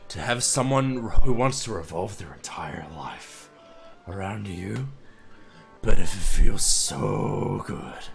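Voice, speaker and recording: An obsessive, lovesick young woman murmurs her devotion in a hushed, intense way, as a hoarse man reads her part aloud. The voice sits low and gravelly, with faint game music playing underneath. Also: full character voice. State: hoarse, losing his voice.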